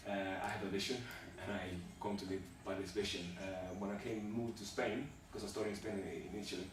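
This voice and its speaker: A man from Sweden, answering interview questions haltingly, with many fillers and restarts. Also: fairly low pitch, flat delivery. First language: Swedish. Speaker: job applicant in a practice interview. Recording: echoey room, distant mic, background noise.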